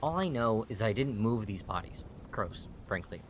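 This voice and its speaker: A man's voice: fairly low pitch, animated, normal speed, natural voice. Man playing an earnest young rookie, noisy backdrop. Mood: puzzled, insistent. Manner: hesitant, questioning. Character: earnest, unsure young male rookie army photographer. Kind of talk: audio drama acting. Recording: clear background ambience and noise.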